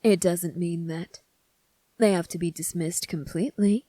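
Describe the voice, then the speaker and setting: A woman voicing a sly, teasing young prince in a scripted ASMR roleplay, speaking deliberately and smugly with calm command. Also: on the low side, no room sound. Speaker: voice actor.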